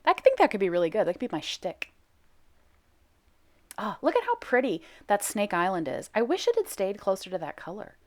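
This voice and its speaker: A woman's voice: medium pitch, huge pitch swings, fairly quick. A woman giving chatty, self-mocking voice-over commentary on her soap making video, full of fillers.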